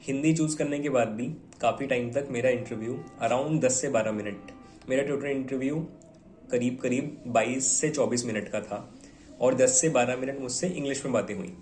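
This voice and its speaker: A man's voice: mid-range voice, normal speed, darkish tone, faint music behind. Young man, echoey room. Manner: conversational, mixes Hindi with English words, fillers like "theek hai". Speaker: bank officer giving exam advice. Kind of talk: casual advice talk to viewers.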